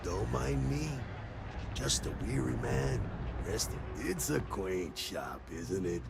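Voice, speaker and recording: An actor playing a weary, easygoing male shopkeeper in a space video game, with a gravelly, unhurried, folksy delivery. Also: medium pitch, animated, exaggerated voice. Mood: weary, content. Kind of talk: video game character acting. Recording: game music behind the voice.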